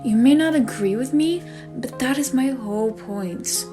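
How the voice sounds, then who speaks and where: Woman acting earnest friend giving honest advice, high voice, measured n reassuring, faint music. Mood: sincere, gentle. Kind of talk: dramatic monologue for a voice acting demo reel.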